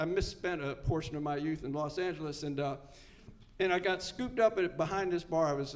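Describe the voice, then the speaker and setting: A man in his seventies speaks at a recovery meeting with a voice pitched a little higher than most men's. He is folksy and earnest, and he repeats his key lines so they land. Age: 70s. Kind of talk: personal story told to a live meeting.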